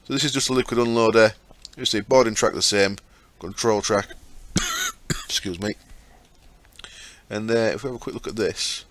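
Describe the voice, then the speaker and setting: A man with a fairly low voice and a sore, croaky throat, talking through his game. He chats casually as he explains each step, with plenty of ums and asides. He speaks into a desktop mic as he records his screen. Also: huge pitch swings, moderate pace, fairly bright tone. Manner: chatty, explains step by step with fillers. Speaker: gaming channel host.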